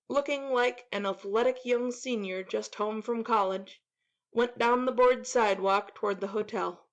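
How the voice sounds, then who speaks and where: Woman narrator, slightly dull recording. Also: a little high. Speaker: audiobook narrator. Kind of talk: scripted story narration.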